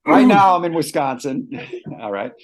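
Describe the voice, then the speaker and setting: A man's voice: on the high side, very animated, normal speed. A man from the Chicago area introducing himself on a group call, joking and laughing at his own lines. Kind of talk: casual self-introduction.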